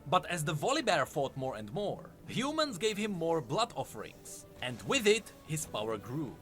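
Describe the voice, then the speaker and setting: A man's voice: fairly high pitch, wildly swooping pitch, moderate pace, a bit bright. A man reading scripted narration, telling fantasy game lore as a story. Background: background music under the voice.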